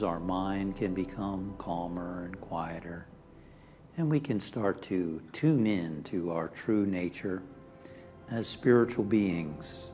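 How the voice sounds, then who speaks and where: A man leading a guided meditation as a minister, speaking calmly and soothingly in short phrases with pauses, softly trailing off toward near-whispers. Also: sits low. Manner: calm, soothing, pausing between short phrases. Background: background music.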